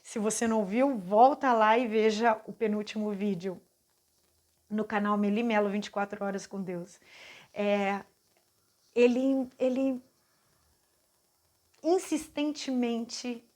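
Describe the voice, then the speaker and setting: A Brazilian woman in her forties giving an earnest, heartfelt personal faith testimony, speaking haltingly with restarts. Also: fairly high pitch, a little mellow. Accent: Brazilian Portuguese. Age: 40s. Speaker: channel host giving a testimony.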